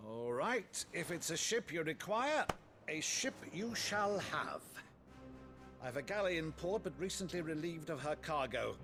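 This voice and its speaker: A man's voice: a little high, very animated, measured pace. A middle-aged man playing a jovial, theatrical nobleman uncle in a video game cutscene. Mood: grave. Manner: hearty, theatrical, pompous. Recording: game score playing behind. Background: music.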